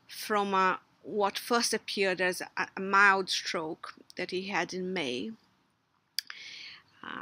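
A middle-aged woman with a Brazilian Portuguese accent speaks a memorial tribute, part of it read, full of fillers and restarts. Her voice is bright and clear. She sits outdoors in a garden, close to a webcam, and the sound is dry. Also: mid-range voice, no room sound. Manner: hesitant, many fillers and restarts. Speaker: logician giving a recorded tribute. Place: outdoors under a patio umbrella in a garden.

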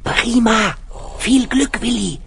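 Ein Mann spielt in einem Kinderhörspiel ein Zeichentricktier, das bestimmend und beruhigend die Wache anführt. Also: male voice, extremely high pitch, slow, creaky, fairly bright tone, a little hiss or hum, full character voice. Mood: reassuring, conspiratorial. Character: calm reassuring cartoon animal leading a guard team. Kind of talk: children's audio play acting.

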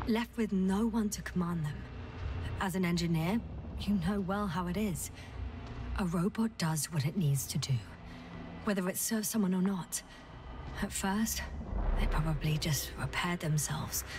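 A woman playing a calm, analytical scientist in a video game, explaining in a measured, slightly breathy way. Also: lowish voice, natural voice. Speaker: game character, doctor. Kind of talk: dramatic video game dialogue.

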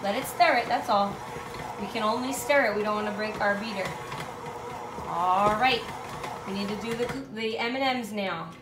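A woman's voice: medium pitch, normal speed. A woman in her thirties, a mother casually guiding young children through steps with encouraging check-in questions. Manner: encouraging, step-by-step directing with frequent check-in questions. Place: home kitchen or dining room, indoors. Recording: echoey room with clear background noise.

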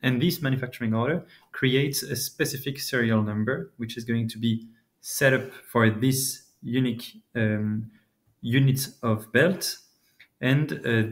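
Man explaining a business scenario. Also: mid-range voice. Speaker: co-presenter in the client's role.

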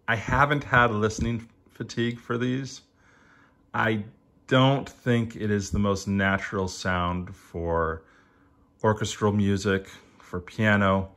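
Low-voiced middle-aged man reflecting. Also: fairly bright tone. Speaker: audio hobbyist reviewer. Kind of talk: reflective personal product review.

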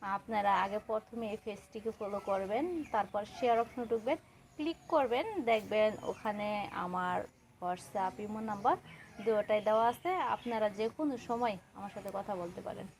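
A woman's voice: medium pitch, moderate pace, a little hiss or hum. A young woman from Kishoreganj with a Bangladeshi accent, speaking colloquially as a guest in a personal interview. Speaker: interview guest seeking a husband.

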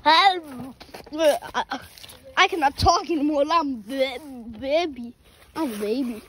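A woman with a high, light voice chats playfully through a home vlog, rambling and tripping over her words. She speaks slowly. Clear background noise runs under the recording. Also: fairly bright tone. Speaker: vlogger. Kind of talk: casual vlog chat.

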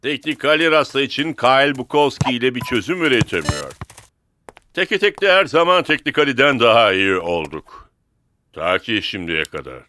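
A man's voice: medium pitch, lively intonation, normal speed, sharp tone, a little hiss or hum. A man voicing an assured adult in a sports cartoon, with emphatic, declarative delivery.

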